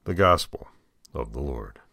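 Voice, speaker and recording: American male, deep register, dry recording. Deacon hosting scripture readings with informal, deliberately phrased commentary. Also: lively intonation, pushed and bright, slightly rough, no room sound. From United States.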